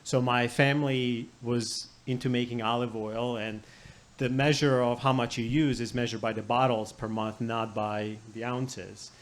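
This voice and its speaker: A man from Croatia, a patient guest on a health panel, telling his story briskly and matter-of-factly. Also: mid-range voice, steady tone.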